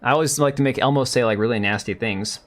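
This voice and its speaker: A man in his thirties with a mid-pitched voice that has gone hoarse from talking for a long time. He rambles through a casual live commentary, loose and sarcastic. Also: fast talker. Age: 30s. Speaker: livestream host.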